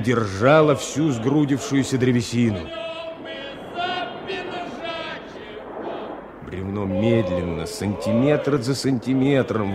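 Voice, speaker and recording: A man's voice: fairly low pitch, close to an everyday voice. A man acting as the storyteller of a radio drama, delivering scripted narration with dramatic feeling. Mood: tense, dramatic. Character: dramatic male storyteller of river adventure.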